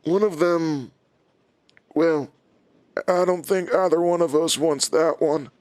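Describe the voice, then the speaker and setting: An actor playing a menacing, smug villain in a horror audio drama, taunting and calculating in a controlled way. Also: male voice, on the high side, fairly even intonation, slow, darkish tone, dry recording. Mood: coolly menacing. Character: menacing, smug male villain, an old enemy.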